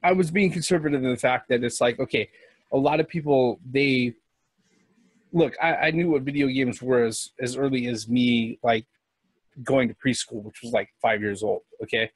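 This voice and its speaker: A man's voice: medium pitch, normal speed, slightly rough, dry recording, poor audio. A man around forty in a casual group chat, patiently explaining and correcting details. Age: 40s.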